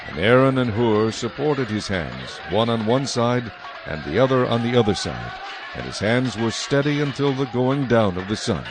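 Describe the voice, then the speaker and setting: A man narrating scripture from a script as a solemn, measured storyteller. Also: medium pitch, noisy background.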